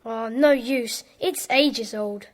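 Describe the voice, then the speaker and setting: A woman's voice: a little high, takes it slowly, in a large hall. A woman playing an observant, matter-of-fact young schoolboy in a children's audio drama.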